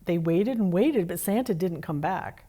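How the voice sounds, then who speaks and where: Woman as Santa's homely wife, kindly, lowish voice, children's holiday storytelling. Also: expressive, normal speed, dark tone.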